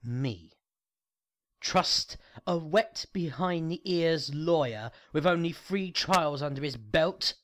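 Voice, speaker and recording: An actor playing a proud, bitter young prosecutor, condescending and sardonic, in a dramatic fan audio drama. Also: male voice, fairly high pitch, lively intonation, takes it slowly, fairly bright tone.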